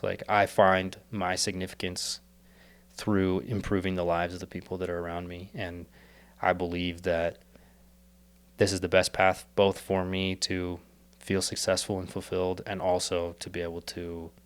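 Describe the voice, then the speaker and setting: A man's voice: deep voice, completely flat delivery, moderate pace. A young man, a podcast guest, talking earnestly and rambling with many fillers and restarts, his voice a little worn as he is losing it. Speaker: podcast guest, startup co-founder. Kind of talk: casual reflective interview talk.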